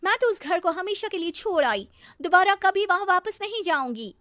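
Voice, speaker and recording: A woman's voice: very high voice, expressive, moderate pace, bright, forceful tone, dry recording, studio quality, voice pushed up, full character voice. A woman voicing a spoiled, haughty young married model in a cartoon story, snappy and whining, dropping in English phrases. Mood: huffy, defiant. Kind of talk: cartoon moral-story dialogue.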